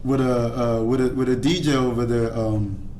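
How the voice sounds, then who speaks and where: A man's voice: medium pitch, fairly even intonation, normal speed, a little mellow, a little hiss or hum. A man who works as an MC, chatting casually as a guest and rambling with many restarts. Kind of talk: casual chat. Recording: roomy studio echo.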